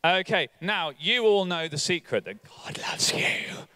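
A man in his forties giving an energetic all-age church talk on a hall stage, coaxing volunteers into a game. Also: high voice, a little slow, clean tone. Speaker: church leader. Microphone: handheld mic.

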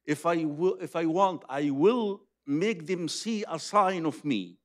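A man's voice: a little high, expressive, slow pace, fairly bright tone, no room sound, clean studio sound. An older man with an Egyptian accent and Arabic as his first language gives a religious lecture in a conversational, emphatic way, repeating phrases for his listeners. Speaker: Islamic preacher. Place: conference hall stage, seated at table. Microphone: microphone on a boom stand.

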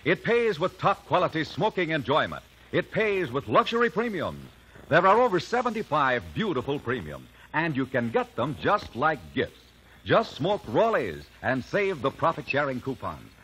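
A man's voice: fairly high pitch, huge pitch swings, moderate pace, a bit bright, husky, low-quality recording. An American man reading a scripted 1950s radio advertisement as announcer. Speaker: commercial announcer.

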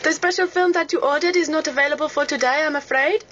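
A woman's voice: high-pitched, fast talker, fairly bright tone. A woman voicing a fake Spanish female hotel receptionist, speaking with prim, scripted politeness. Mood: polite. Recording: dull radio studio sound.

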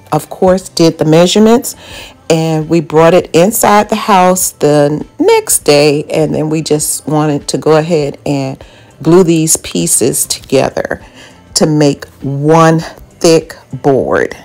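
A woman's voice: low voice, expressive, takes it slowly, darkish tone. A woman narrating a home do-it-yourself project over video, explaining each step plainly with occasional ums. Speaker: home DIY vlogger.

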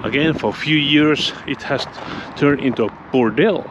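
A man with a Finnish accent, a Finnish native speaker narrating his exploration vlog outdoors, halting as he searches for English words. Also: mid-range voice, highs cut off. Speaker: urban explorer. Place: outdoors at an abandoned mansion. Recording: loud wind noise on the mic.

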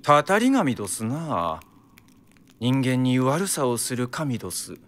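Lilting, courteous Kyoto gentleman. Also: medium pitch, sing-song, slow. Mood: composed, polite. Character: polite, composed Kyoto-speaking male superior. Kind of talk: Japanese radio drama acting.

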